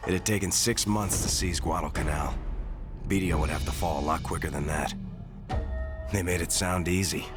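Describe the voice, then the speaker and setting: An actor playing a Marine recounting his island campaign in measured, grave narration. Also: deep voice, lightly acted. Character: reflective American Marine veteran recounting the Pacific campaign. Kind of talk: scripted first-person war game narration. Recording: music under the narration.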